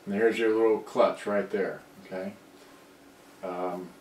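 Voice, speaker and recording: A middle-aged man thinking aloud in a halting, rambling way during a casual live repair demonstration. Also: lowish voice, fairly even intonation, darkish tone. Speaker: hobbyist electronics repairer on a livestream. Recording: distant mic, echoey room, background noise.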